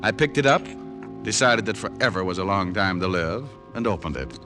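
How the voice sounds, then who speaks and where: Low-voiced wisecracking American detective. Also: male voice, normal speed, music in the background. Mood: wry, narrating. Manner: wry, deadpan wit. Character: wisecracking, cool male private detective narrating his case. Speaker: lead actor and narrator. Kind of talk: old-time radio detective narration.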